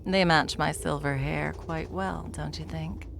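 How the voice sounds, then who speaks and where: A woman playing an ancient, smug, wry stranger in an ASMR fantasy roleplay, with theatrical, taunting delivery and a gravelly voice. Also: low voice, fairly even intonation, background noise. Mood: smug teasing. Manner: sardonic, theatrical, unhurried taunting. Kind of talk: ASMR audio roleplay acting.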